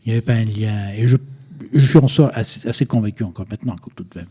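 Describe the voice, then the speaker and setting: A man's voice: lowish voice, fast, mellow, breathy edge. An older man from Egypt, a radio interview guest who repeats words as he searches for them and insists firmly on his points. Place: radio studio. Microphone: studio microphone, at times too far away.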